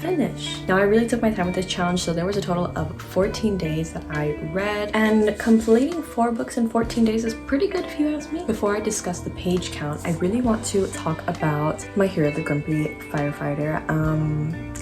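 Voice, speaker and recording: A woman's voice: medium pitch, moderate pace. A young woman chatting casually about books as a vlogger, with an informal, slangy way of talking. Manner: informal, youthful slang and asides. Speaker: book vlogger. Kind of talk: casual chatty book review to camera. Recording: voice over clearly audible background music.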